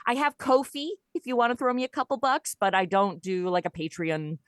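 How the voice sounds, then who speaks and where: A woman chatting casually as a podcast guest, telling things in an animated, conversational way and voicing bits of dialogue as she goes. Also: mid-range voice, a little fast, no room sound, studio quality. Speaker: podcast guest, audio drama creator and voice performer. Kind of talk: casual interview chat.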